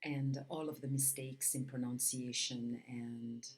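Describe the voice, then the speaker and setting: A woman yoga teacher reading a spiritual commentary aloud in measured, deliberate phrases. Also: a bass voice, fairly even intonation, darkish tone. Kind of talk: read-aloud spiritual lesson with Sanskrit chanting.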